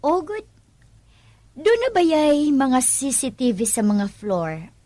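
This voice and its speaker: High, probing Cebuano woman played by an actress. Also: very animated, takes it slowly, dark tone, no room sound, heavily acted. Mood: suspicious, gossipy. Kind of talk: Filipino radio drama acting.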